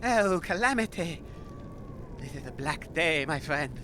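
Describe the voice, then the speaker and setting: An actor playing an eccentric, gallant inventor in an audio comedy drama, grave and theatrical, declaiming dramatically. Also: male voice, on the high side, animated, unhurried, noisy background. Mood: grave, urgent.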